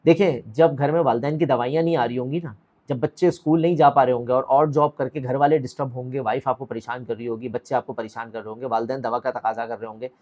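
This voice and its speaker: A man's voice: medium pitch, fairly even intonation, fast, darkish tone. A middle-aged man, the founder of an academy, giving a direct, motivational talk to camera and putting questions to the viewer. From Pakistan.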